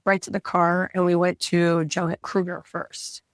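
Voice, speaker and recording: A woman from the United States tells travel stories with eager excitement while fighting a cold that is wearing down her voice. She is recorded dry and close. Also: on the low side, moderate pace, no room sound. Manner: excited storytelling. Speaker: guest. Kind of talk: casual chat.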